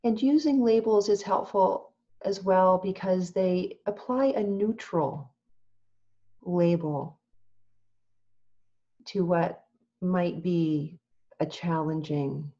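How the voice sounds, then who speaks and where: A woman teaching meditation in a calm, gentle, measured way, leaving long pauses as she gives instructions. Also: fairly low pitch, dull audio.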